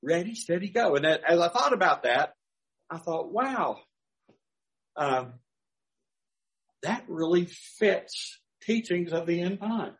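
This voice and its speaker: Older male pastor, folksy storyteller, a bit high-pitched, animated delivery. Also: takes it slowly, long pauses. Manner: folksy storytelling, chuckling asides. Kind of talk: sermon with personal anecdotes.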